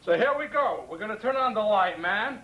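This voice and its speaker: Brash, bright-voiced Brooklyn man. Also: high-pitched, lively intonation, dull audio. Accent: Brooklyn New York. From Brooklyn, New York. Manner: profane, boastful, menacing bravado, repeats "man" constantly; halting, stuttering restarts. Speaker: home video maker. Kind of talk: rambling camcorder address to a pen pal. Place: bedroom of an apartment. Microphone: camcorder on a tripod. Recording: roomy, distant 1990 camcorder tape with hiss.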